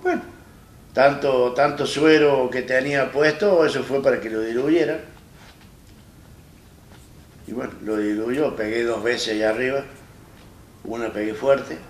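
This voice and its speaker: A man in his fifties with an Argentine accent, telling his own story in a plain-spoken, halting way as an interview guest. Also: medium pitch. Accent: Argentine Spanish. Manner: plain-spoken, halting and retelling with many restarts. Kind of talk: personal story in a studio interview. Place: small studio set, seated in armchair. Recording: roomy echo, distant mic, faint hiss.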